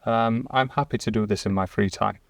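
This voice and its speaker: A man on a company podcast panel, talking in a relaxed, upbeat way. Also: on the low side, fairly quick, creaky, no echo, studio quality. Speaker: employee ambassador.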